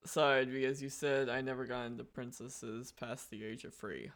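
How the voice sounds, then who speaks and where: A young woman with a deep voice co-hosting a casual family podcast, talking in a hesitant, halting way. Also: fairly even intonation. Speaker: podcast co-host, daughter.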